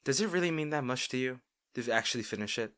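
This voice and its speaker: A worried, loving boyfriend acted by a man in an intimate audio roleplay. His medium-pitched voice rises and falls a great deal as he earnestly pleads with an overworked partner. The delivery is emphatic and caring. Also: expressive, quick pace, fairly bright tone, smooth, lightly acted. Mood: concerned, serious.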